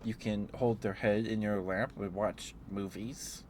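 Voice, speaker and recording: A man with a lowish voice chats in a casual ASMR style, speaking very slowly. He muses playfully out loud and lets thoughts drift, over clearly audible ambient noise. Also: steady tone. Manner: playful, musing aloud with trailing thoughts. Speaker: ASMR livestreamer. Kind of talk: ASMR, casual rambling chat.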